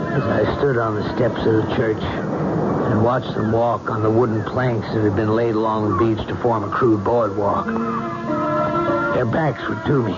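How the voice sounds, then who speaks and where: An actor playing an uneasy best man, giving measured, foreboding narration in a 1970s radio horror drama. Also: on the low side, close to an everyday voice. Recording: dull old radio recording, loud music.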